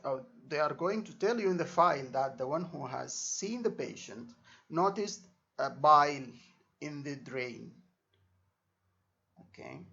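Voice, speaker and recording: A man's voice: fairly high pitch, fairly bright tone. A man giving an informal exam lesson as a tutor, repeating himself and checking understanding with short tags. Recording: dull lo-fi microphone sound.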